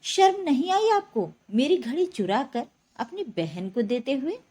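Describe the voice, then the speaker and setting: A woman's voice: high voice, big pitch swings, unhurried, dark tone. A woman voicing an arrogant, hot-tempered young sister-in-law, sarcastic and accusing, in a spoken story. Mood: sarcastic accusation.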